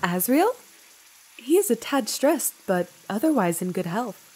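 A woman voicing a gentle motherly goat monster in a comic dub, speaking formally, precisely and earnestly. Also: on the high side, very animated, measured pace, noisy background. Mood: concerned.

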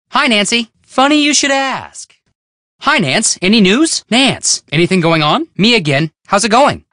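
A boyish young sleuth in a video game, high for a man and very animated. He is upbeat, breezy and helpful, with a bright, dry sound. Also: no room sound, exaggerated voice. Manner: breezy, casual, eager. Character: upbeat, boyish young male amateur detective, helpful friend.

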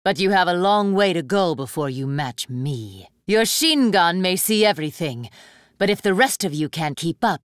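A woman's voice: mid-range voice, dry recording, clean studio sound. An actress voicing a proud, ruthless young female warrior for an anime fighting game, with a haughty, commanding, theatrical delivery. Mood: cold disdain.

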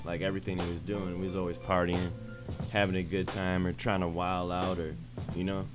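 A man's voice: low voice, level tone, a little fast, music in the background. An American man speaking as a guest musician in a casual, colloquial studio interview. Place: small radio studio. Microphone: studio mic.

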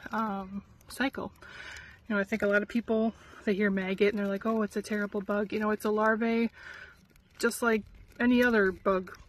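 Middle-aged woman, informal farm how-to vlogger, medium pitch, handheld phone outdoors with chickens and light noise behind. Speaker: chicken keeper presenting a how-to. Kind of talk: casual chatty how-to vlog. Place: outdoors in a farmyard chicken run. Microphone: handheld phone at arm's length. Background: chickens clucking, outdoor noise.

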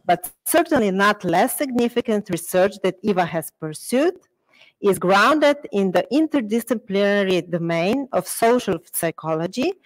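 Female host, formal and measured introduction, dry close sound. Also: mid-range voice, no room sound, low-quality recording. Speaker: conference host.

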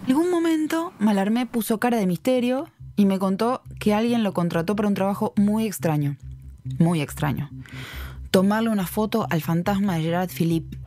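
An actress as a talkative, curious young woman telling her own mystery story in a podcast drama. Her voice sits at an average pitch and is soft-edged and mellow. She narrates confidingly, in the first person. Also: normal speed, lightly acted. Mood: intrigued, confiding.

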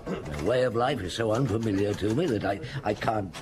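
An actor playing a thoughtful, courteous chief police inspector with a measured, hesitant manner in an old radio drama. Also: male voice, lowish voice, expressive, close to an everyday voice. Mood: pondering.

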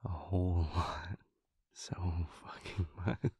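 A man playing an affectionate, dreamy telepath boyfriend in an intimate audio roleplay, in a breathy, halting, rambling way, close to the microphone. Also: low-pitched, dark tone, no room sound, heavily acted. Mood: dreamy, loving. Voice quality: breathy, husky whisper. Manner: halting, rambling, drowsily giggly. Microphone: close, dry studio microphone.

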